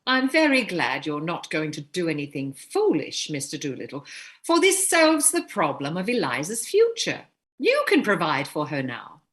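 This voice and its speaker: An actress playing a composed, commanding upper-class older mother, firm and measured in a staged play reading. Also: low-pitched, wildly swooping pitch, fairly bright tone, exaggerated voice. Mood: composed, practical.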